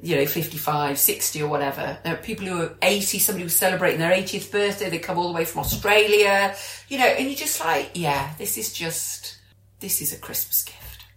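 A woman's voice: lowish voice, expressive, fairly quick, fairly bright tone. A British woman co-hosting a podcast, chatting casually and enthusiastically. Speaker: podcast co-host. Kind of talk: casual chat. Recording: echoey room.

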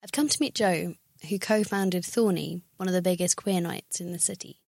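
A woman's voice: on the low side, moderate pace, creaky, darkish tone, no room sound, studio quality. A woman presenting a documentary and interviewing guests, light and self-deprecating in manner.

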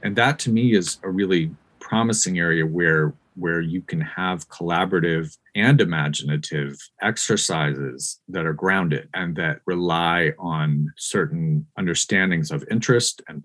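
An American man giving measured, thoughtful explanations as a researcher being interviewed. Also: low voice, fairly bright tone. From United States. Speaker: academic researcher and interview guest.

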